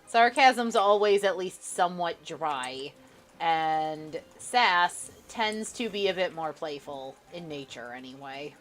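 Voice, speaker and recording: A woman's voice: lowish voice, lively intonation, slow, fairly bright tone, faint music behind. A woman streaming a co-op game live, bantering with her co-player in a dry, sarcastic way. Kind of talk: casual gameplay chat with a co-player.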